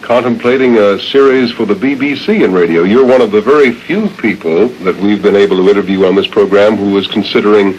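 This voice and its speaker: A man's voice: on the low side, a little fast, hardly any pauses, a little mellow, highs cut off, slight background noise. A man hosting a radio interview, speaking in formal, carefully built sentences with some hesitation. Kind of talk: interview conversation.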